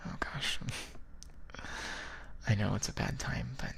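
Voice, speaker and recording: A man playing a shy, lovestruck friend in an ASMR boyfriend roleplay, speaking in a soft, breathy whisper with a hesitant, halting manner. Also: lowish voice, fairly even intonation, a little hiss or hum, heavily acted. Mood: nervous, hesitant. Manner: hesitant, halting, gently awkward. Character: shy, lovestruck male friend confessing feelings.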